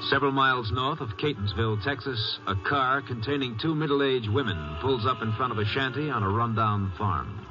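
American male narrator, medium pitch, 1950s radio drama, music underneath, muffled recording. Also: lively intonation, moderate pace, hardly any pauses.

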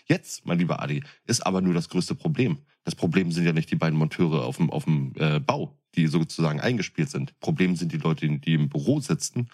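Deep-voiced man in casual chat. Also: a little fast, few pauses, a little mellow. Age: 30s. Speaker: podcast co-host.

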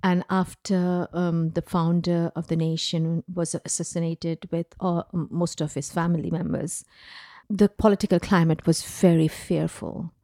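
A woman's voice: fairly low pitch, level tone, dark tone, no room sound, clean studio sound. A woman from Bangladesh, a filmmaker guest in an interview, speaking thoughtfully with hesitations. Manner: slow, thoughtful, hesitant with fillers.

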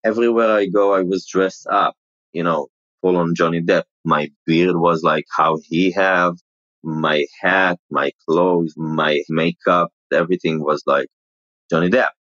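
A man with an Israeli accent and a deep, mumbling voice, telling stories bluntly and casually in an interview, with curse words. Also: fairly even intonation, a little slow, a bit bright, no echo, lo-fi sound. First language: Hebrew. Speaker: interview guest, celebrity impersonator.

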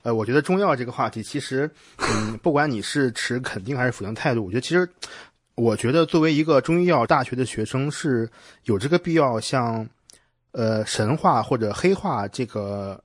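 A man's voice: mid-range voice, moderate pace, dry recording. Man hosting a podcast, dry close sound. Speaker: podcast host, interviewer. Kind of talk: casual conversational interviewing.

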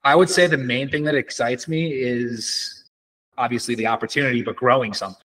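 A man's voice: mid-range voice, steady tone, a little fast, a bit bright, low-quality recording. A man speaking as an entrepreneur guest in a casual interview, explaining things in a conversational way.

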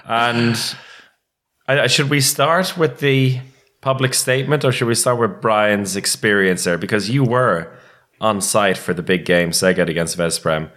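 A man's voice: fairly low pitch, unhurried, a bit bright. A man hosting a casual sports podcast, chatting with his co-hosts. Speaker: podcast host.

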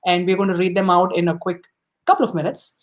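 A woman's voice: lowish voice, lively intonation, quick pace. A woman hosting a live podcast, chatting casually and encouragingly with her guest, often saying "you know". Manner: upbeat, encouraging, many "you know" fillers. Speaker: podcast host. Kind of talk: casual interview chat.

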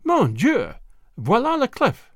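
Male narrator as a young girl, high-pitched, quick-witted, urgent, secretive, storybook character. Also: sing-song, no echo, clean studio sound, exaggerated voice. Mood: theatrically alarmed. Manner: hushed urgency, rising to theatrical French exclamations.

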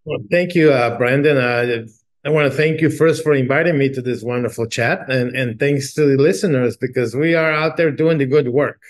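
Halting man from Mexico, guest chat. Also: medium pitch. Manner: halting, many restarts and fillers. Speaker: chamber executive guest. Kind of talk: casual interview talk.

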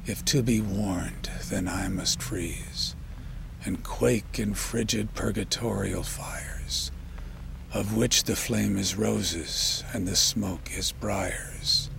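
A man reading poetry in a measured, solemn, dramatic recitation with deliberate pauses and a gravelly voice that turns breathy at phrase ends. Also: lowish voice, fairly even intonation, noisy background. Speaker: poetry reader. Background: ambient sound design.

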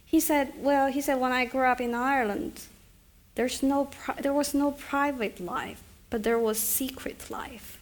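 A woman's voice: high voice, steady tone, a little slow. A middle-aged woman author from China, speaking thoughtfully with hesitant restarts at a live book event. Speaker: author at a reading.

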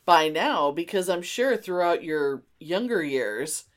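A woman's voice: lowish voice, animated, slow pace. A middle-aged woman hosting a podcast in casual banter with a friend, emphatic and teasing, given to exclamations. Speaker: podcast host.

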